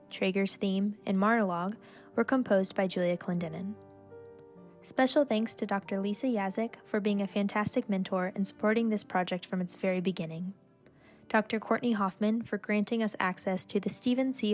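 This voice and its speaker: A woman's voice: mid-range voice, moderate pace, a little mellow. Woman announcer over music. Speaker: announcer reading closing credits. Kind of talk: scripted narration.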